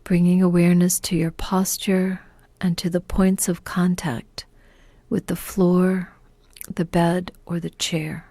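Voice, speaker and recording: A woman guides a meditation at a very slow, unhurried pace. Her voice is mellow, soft and breathy, often close to a whisper. She sounds calm and gentle, recorded close and dry. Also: lowish voice, no echo. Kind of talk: guided meditation, scripted narration.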